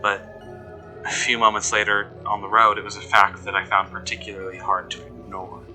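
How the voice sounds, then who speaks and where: Man playing a low, tense horror-story investigator. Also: completely flat delivery, normal speed, pushed and bright. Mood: measured unease. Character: uneasy male investigator recounting being followed. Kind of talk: scripted first-person horror narration. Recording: music behind the voice.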